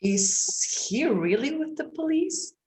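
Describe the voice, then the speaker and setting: A woman's voice: sits high, animated, slow, darkish tone. A woman playing a self-reliant, unimpressed neighborhood woman in a screenplay table read. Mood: concerned. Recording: faintly noisy home recording.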